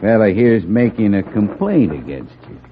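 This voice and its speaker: An actor playing a smug, menacing small-town sheriff in a 1950s radio Western, with a folksy, sardonic, drawling delivery. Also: male voice, lowish voice, very animated, normal speed. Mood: summoning. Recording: tinny, noisy 1950s radio broadcast.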